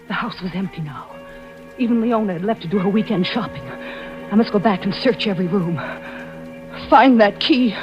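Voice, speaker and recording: A woman's voice: medium pitch, lightly acted. A woman playing an anxious, devoted wife turned amateur sleuth in old-time radio suspense, with breathless, melodramatic urgency. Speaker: actress narrating and playing the lead. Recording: muffled vintage radio recording with music.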